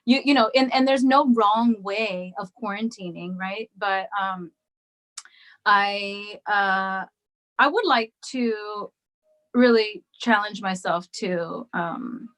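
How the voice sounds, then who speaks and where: A woman's voice: medium pitch, fairly even intonation, takes it slowly, a bit bright, poor audio. A woman singer as a guest, talking casually in an interview with frequent ums and restarts. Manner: hesitant, many ums and restarts.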